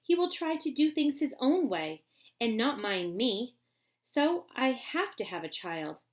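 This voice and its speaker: A woman voicing an eccentric, excitable old man who makes candy, in a storybook reading. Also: fairly high pitch, pitched above the performer's own voice. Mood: eager. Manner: brisk, eager and bossy.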